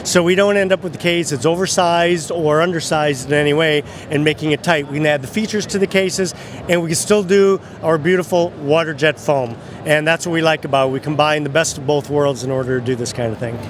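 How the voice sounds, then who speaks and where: A man's voice: a little high, fairly even intonation, a little fast. A man in his sixties casually explaining as an exhibitor in an interview, friendly, with frequent hesitations. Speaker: case company exhibitor. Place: exhibit booth in large arena. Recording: clear expo-hall background noise.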